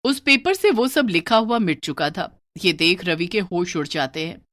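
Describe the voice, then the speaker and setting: A woman's voice: on the low side, sing-song, moderate pace, fairly bright tone, dry recording. A woman narrating a scripted horror story.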